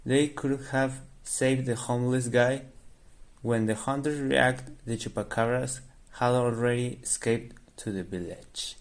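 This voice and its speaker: A man narrates a radio drama from a script. His voice sits at a middling pitch and he moves through the sentences slowly. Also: fairly even intonation.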